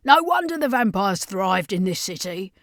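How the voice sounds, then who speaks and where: A woman voicing a world-weary but tender lady guardian in a narrated story, speaking with soft regret. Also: mid-range voice, very animated, moderate pace, no room sound, studio quality. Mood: regretful, resigned.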